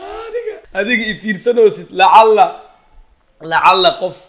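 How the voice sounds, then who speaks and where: A young man with a high, lively voice talks fast as he reacts to a clip, swinging up and down in pitch, laughing and mimicking the people in it. He speaks from a small home studio. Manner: animated, laughing, mimicking others. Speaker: comedy YouTuber. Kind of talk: reaction commentary.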